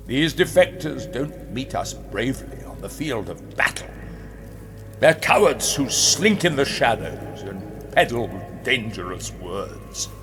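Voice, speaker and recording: A menacing rabbit king giving a grand speech, swooping delivery, big echoey hall, music under it. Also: mid-range voice. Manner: slow, emphatic, dramatic pauses. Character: grandiose, menacing rabbit ruler addressing his citizens. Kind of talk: theatrical public oration.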